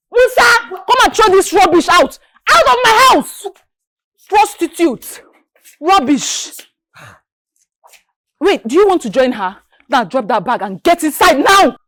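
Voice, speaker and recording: High-pitched furious wife. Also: female voice, very animated, measured pace, pauses often, a bit bright, exaggerated voice. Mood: furious, scornful. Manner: scolding, confrontational, repeating demands. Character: furious betrayed wife throwing out her cheating sister. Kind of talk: melodramatic screen drama acting.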